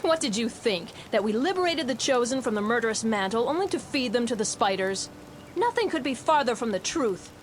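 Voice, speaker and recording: An actress playing an earnest, resolute rebel leader with formal, measured speech in a fantasy game cutscene. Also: female voice, a little high, lively intonation, a little hiss or hum, close to an everyday voice. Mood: firm, explaining.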